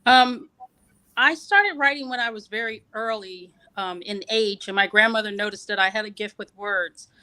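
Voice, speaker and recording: Guest poet, woman from New Jersey, bright tone, calm and reflective. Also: medium pitch, moderate pace, rough recording. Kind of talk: casual interview.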